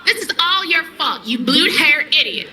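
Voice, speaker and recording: A woman's voice: sits high, lively intonation, normal speed, bright, forceful tone, full character voice. A woman playing a blunt, fiery sci-fi fighter in an audio drama. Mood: angry. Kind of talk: audio drama character acting. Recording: distant sound with noisy ambience.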